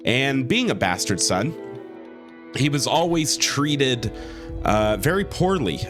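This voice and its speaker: A man hosting a strategy game playthrough, sick with a cold and talking in an easygoing way full of fillers. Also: on the low side. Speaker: let's play host. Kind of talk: gameplay commentary.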